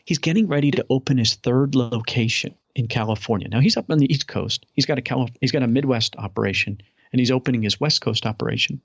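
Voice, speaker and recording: A man's voice: medium pitch, fast talker, vocal fry, hardly any pauses, husky, no echo. A man talking as a guest in a casual interview, upbeat, enthusiastic and rambling. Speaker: podcast guest. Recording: dull lo-fi audio.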